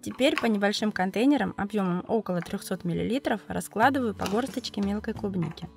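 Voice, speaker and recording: A woman with a cold, narrating a cooking video as its host, explaining each step calmly and methodically. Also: medium pitch, brisk, gravelly, soft background music. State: has a cold, voice affected. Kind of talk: calm recipe voice-over narration.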